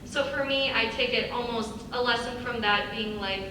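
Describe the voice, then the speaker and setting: A woman's voice: fairly high pitch, normal speed. Woman panelist in a very echoey hall. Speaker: writer on a student script panel. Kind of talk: explanatory panel answer. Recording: echoey hall from far off, noisy.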